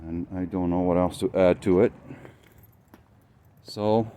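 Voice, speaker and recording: A man whose first language is Romanian, talking through his motorcycle build in a casual, hesitant vlog, pausing often with fillers. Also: sits low, steady tone, mellow, smooth. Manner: hesitant, many fillers, thinking aloud.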